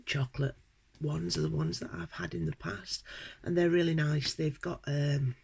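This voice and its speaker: A British woman with a cold, sounding croaky and sniffly, chatting hesitantly through an unboxing with many ums. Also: deep voice, steady tone, normal speed, a little mellow. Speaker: unboxing vlogger. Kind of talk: casual unboxing chat.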